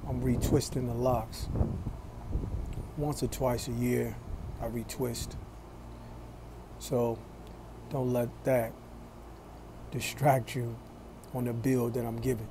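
A man in his forties talking to his phone camera in a small room, slow and deliberate, with a preachy tone. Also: medium pitch, flat delivery, background noise. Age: 40s. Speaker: vlogger. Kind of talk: casual talk to camera.

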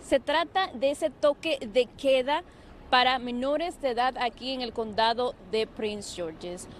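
A young woman reporting live for the news from a city street at night, speaking into a handheld mic with a clear, energetic on-air delivery. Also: sits high, unhurried, slight background noise. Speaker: field reporter.

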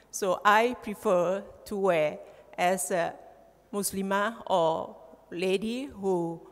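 A woman in her forties from southern Thailand with a Thai accent, giving a formal conference speech at a podium through a headset mic, in a measured, halting way. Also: fairly low pitch. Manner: measured, halting delivery with restarts. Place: large conference hall, at a podium. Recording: reverberant hall.

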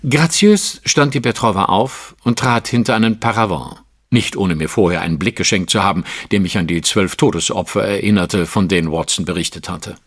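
A man's voice: low-pitched, fairly quick. An actor playing a refined, self-assured Victorian detective, narrating his case in an audio drama with dry, formal, precise wit. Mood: intrigued. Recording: faint music under the voice. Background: music.

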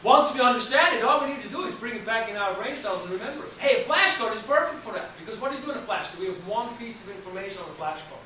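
A man, giving an animated classroom lecture as an anatomy instructor and often restarting his sentences. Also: high-pitched, racing, hardly any pauses, a little hiss or hum. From Switzerland. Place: college classroom, indoors. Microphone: far camera at the back of the room. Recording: echoey classroom from far off.

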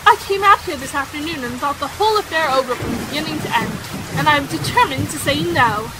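Woman playing outspoken young girl, high voice, candid and theatrical, period radio play, faint noise behind. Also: expressive, a little fast. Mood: defiant. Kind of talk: period romantic audio drama acting.

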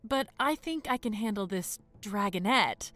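Woman playing a slow, composed dragon leader. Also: mid-range voice, lively intonation, a bit bright. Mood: dry, amused. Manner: measured, deliberate, dry. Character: large female dragon leader, composed, dryly amused. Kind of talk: audio drama acting.

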